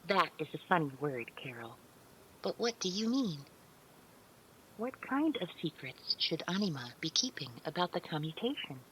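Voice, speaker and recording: A woman's voice: fairly low pitch, sing-song, normal speed, fairly bright tone, a little hiss or hum, poor audio. An actress playing a meek, naive, otherworldly female servant in an audio drama, speaking in careful, stilted, deferential phrases with hesitant hums. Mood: naive, curious. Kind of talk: scripted audio drama roleplay.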